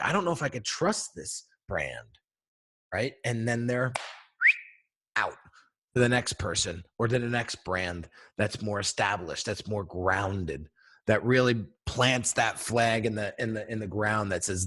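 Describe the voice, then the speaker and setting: A man with a deep voice, a brand coach giving an energetic teaching talk straight to viewers, often checking in with "right?" and "okay?". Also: measured pace. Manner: energetic, emphatic, addresses viewers directly with frequent "right?" and "okay?". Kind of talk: instructional video talk.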